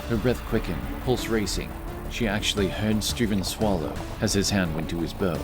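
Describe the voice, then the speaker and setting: A man reading scripted, dramatic narration for a fantasy adventure story. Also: sits low.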